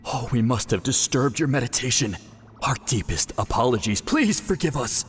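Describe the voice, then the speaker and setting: Man as an earnest priest, lively and reverberant. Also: mid-range voice, moderate pace, lightly acted. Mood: defensive, apologetic. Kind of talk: video game character acting. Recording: reverberant, faint music behind.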